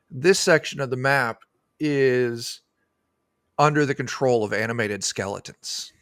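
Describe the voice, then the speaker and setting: A middle-aged man explaining things casually and haltingly as an interview guest, speaking into a desk microphone. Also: medium pitch, creaky, a bit bright, no room sound, studio quality. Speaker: guest, tabletop game designer. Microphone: desk microphone on a video call.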